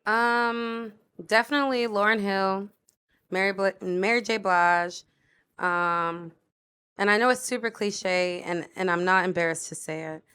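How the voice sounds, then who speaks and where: A woman's voice: medium pitch, measured pace, sharp tone, clean tone. A woman singer, a guest on a podcast, talking in a casual, playful and chatty way. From Florida.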